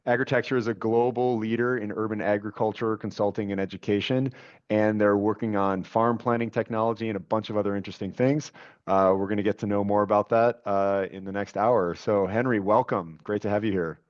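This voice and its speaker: A man in his forties hosting a webcast interview, chatty and friendly, with fillers and quick interjections. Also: on the low side, fairly even intonation. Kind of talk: casual conversational interview. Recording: dull lo-fi audio.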